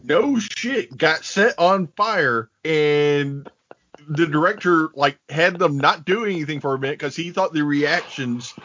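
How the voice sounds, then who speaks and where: A man's voice: on the high side, measured pace, a bit bright, muffled recording. A man co-hosting a podcast, recapping scenes in a dry, sarcastic and profane way. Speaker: podcast co-host. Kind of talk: casual chat, recapping a film.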